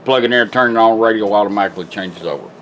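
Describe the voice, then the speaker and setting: A man's voice: lowish voice, fast, a bit bright, dull audio, slight background noise. A middle-aged man giving a casual, plainspoken product demonstration at a workshop bench, like a folksy repairman. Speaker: car radio restorer demonstrating a product. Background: music playing from the radio being demonstrated.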